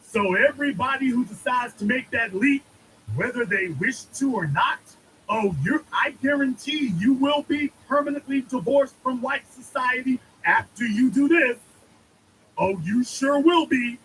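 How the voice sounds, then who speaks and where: A man's voice: very high voice, expressive, measured pace, fairly bright tone, slight background noise, rough recording. A man giving an emphatic political monologue, preaching and repeating lines for effect. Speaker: commentator.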